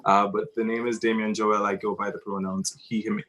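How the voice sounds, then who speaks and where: A man with a Jamaican accent speaking earnestly and haltingly, searching for words as he reflects on his creative work. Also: lowish voice, little pitch movement, a bit bright, highs cut off, slight background noise. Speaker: theatre director discussing his work. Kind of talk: reflective talk about a creative piece.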